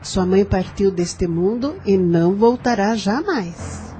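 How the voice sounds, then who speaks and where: Audio drama grandmother, female actor: stern, chilly, mellow voice. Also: medium pitch, slow pace, faint music behind, lightly acted. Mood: cold reproach. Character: stern, cold grandmother scolding a child.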